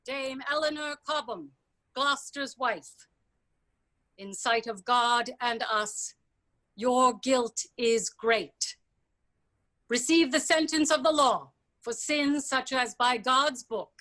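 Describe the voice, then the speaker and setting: Woman as sharp, high, imperious royal judge. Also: heavily acted, low-quality recording. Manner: formal, measured, accusatory. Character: stern, imperious royal judge passing sentence. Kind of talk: declaimed Shakespearean verse in staged reading.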